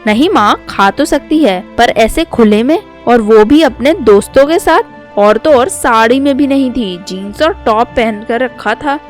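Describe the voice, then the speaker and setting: A woman's voice: on the high side, animated, a little slow, soft background music. A woman voicing a sly, gossipy young daughter-in-law who feigns innocence in a storybook tale. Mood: scandalized gossip.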